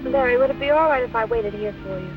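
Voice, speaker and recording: An actress playing an earnest, anxious young fiancée in a 1940s film noir. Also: female voice, a little high, fast talker, over music, natural voice. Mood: worried. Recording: muffled old film soundtrack.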